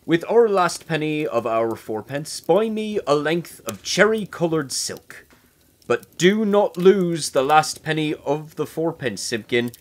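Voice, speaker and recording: A woman voicing a poor, tired little old man who is a tailor, speaking in a low, fretful, pleading way.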